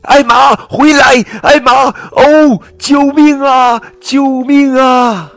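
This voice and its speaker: A man voicing a young elephant cousin who is a ventriloquist joker, shouting in alarm in a storybook. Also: fairly high pitch, lively intonation, moderate pace, no echo, faint music behind, voice pushed up. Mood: panicked shouting.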